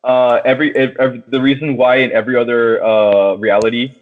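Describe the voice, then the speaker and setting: A man's voice: medium pitch, level tone, a little fast. A man talks film casually as a podcast co-host. His speech is slangy and full of restarts, and the sound is thin and narrow, like a phone call.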